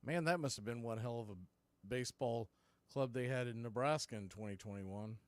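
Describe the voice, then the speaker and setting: A man's voice: mid-range voice, fairly quick, clear, resonant tone, no echo. A man giving live baseball play-by-play commentary in the style of a radio broadcast.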